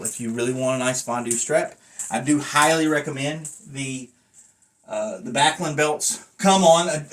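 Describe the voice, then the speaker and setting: A man's voice: mid-range voice, a bit bright. A man talking casually to camera in a rambling review, with a blunt, self-deprecating manner. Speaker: vlogger and wrestling belt collector reviewing a belt.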